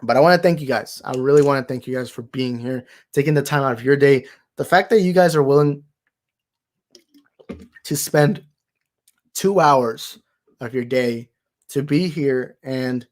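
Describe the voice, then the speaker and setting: A young man hosting a movie talk livestream, tired and slightly raspy, rambling and thanking his viewers. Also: mid-range voice, unhurried, long pauses. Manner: rambling, grateful, repeats himself. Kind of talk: casual livestream sign-off chat.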